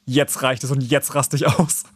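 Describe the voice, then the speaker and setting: A man hosting a live call-in radio show, chatting in a relaxed way with lots of fillers. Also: mid-range voice, moderate pace, breathy edge, no room sound.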